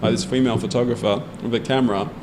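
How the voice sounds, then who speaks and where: A man's voice: mid-range voice, steady tone, racing, noisy background. A young Australian man in a casual discussion, telling stories bluntly and swearing freely. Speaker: podcast co-host. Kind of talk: casual pub-style discussion.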